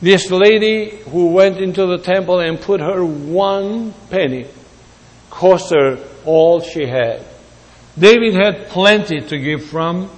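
A man from Greece preaching a sermon in a deliberate way, repeating words for emphasis. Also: high voice. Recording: long hall reverb.

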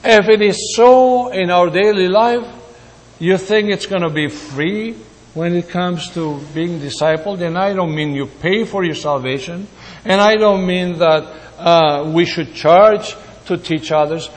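Slow, high-voiced preacher in reverberant hall. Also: male voice. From Greece. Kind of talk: sermon.

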